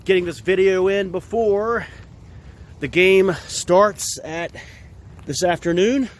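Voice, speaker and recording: A man with a cold, voice a bit hoarse, chatting casually and haltingly with lots of fillers while walking outside. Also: high voice. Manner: rambling, halting with many fillers. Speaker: vlogger giving football picks. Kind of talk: casual outdoor vlog chat. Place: outdoors at an empty field in winter.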